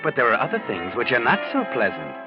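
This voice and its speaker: An actor playing a wry, foreboding storyteller, narrating deliberately in 1940s radio mystery style. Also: male voice, medium pitch, wildly swooping pitch. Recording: muffled old radio recording, loud music behind.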